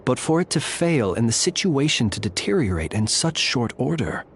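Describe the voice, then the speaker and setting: Game warrior ally: mid-range, composed, analytical, formal, resolute. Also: male voice, mid-range voice, sing-song, heavy background noise. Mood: thoughtful. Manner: measured, formal, deliberate. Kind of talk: video game voice acting.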